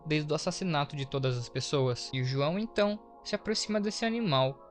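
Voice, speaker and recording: Higher-voiced Brazilian man over background music. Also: a little fast. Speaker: video host, narrator. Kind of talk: horror story recap commentary. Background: faint to clear background music.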